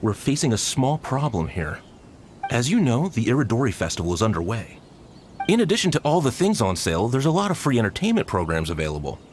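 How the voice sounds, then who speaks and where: An actor playing an exasperated festival staff worker who sighs over complaints, in video game dialogue. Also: male voice, medium pitch, sing-song, a little fast, slight background noise, lightly acted. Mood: harried. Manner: weary, sighing, exasperated.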